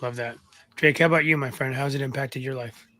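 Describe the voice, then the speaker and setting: A man moderates a casual interview as host. His voice sits at a middle pitch with a bright, forceful tone. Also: steady tone, a little fast.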